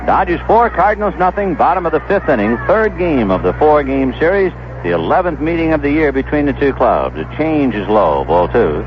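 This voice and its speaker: A man's voice: fairly high pitch, big pitch swings, fairly quick, hardly any pauses, lo-fi sound, noisy background. A man calling baseball play-by-play as a radio announcer, on an old 1970s broadcast. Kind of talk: radio sports commentary.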